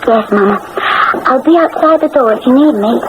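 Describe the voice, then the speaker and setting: A woman's voice: high voice, moderate pace, phone-quality sound, heavy background noise, lightly acted. An actress playing a naive, eager teenage German princess in a radio drama, with a girlish, earnest manner. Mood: obedient. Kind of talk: 1980s radio drama acting.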